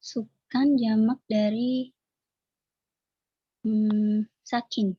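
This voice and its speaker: Young woman student, slow hesitant replies, dry muffled call sound. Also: fairly high pitch, flat delivery, breathy edge, no room sound. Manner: hesitant, slow, answers briefly. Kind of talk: reading aloud in online class.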